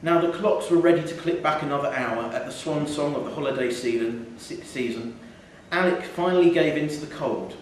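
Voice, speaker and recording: A man's voice: a little high, brisk, few pauses. Very echoey man reading a short story. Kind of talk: live literary story reading. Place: theatre venue, indoors. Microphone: distant from speaker. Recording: very echoey, distant mic, faint noise.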